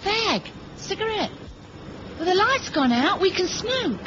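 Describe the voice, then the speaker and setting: A tough, possessive British female agent needles and teases with very animated intonation. The 1970s radio drama recording is dulled, with clear noise underneath. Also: very high voice, unhurried, lo-fi sound, heavily acted. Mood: teasing. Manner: needling, sarcastic.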